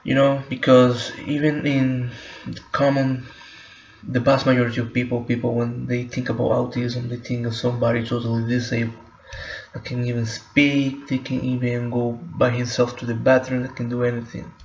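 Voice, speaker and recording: Halting man, flat and gravelly. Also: medium pitch, slight background noise. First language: Spanish. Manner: halting, many fillers and restarts. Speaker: vlogger. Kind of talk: personal reflective monologue. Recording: roomy, muffled home recording.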